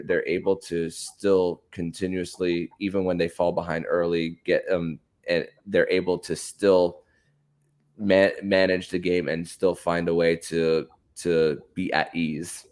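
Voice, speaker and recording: A man hosting a conversational sports podcast interview, speaking haltingly with repeated words and restarts. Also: deep voice, little pitch movement, darkish tone.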